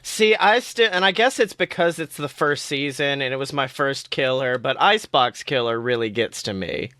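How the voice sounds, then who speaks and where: A woman co-hosting a podcast, bantering casually about a TV show with excitable, dramatic emphasis. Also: a bass voice, moderate pace, no room sound. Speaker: podcast co-host.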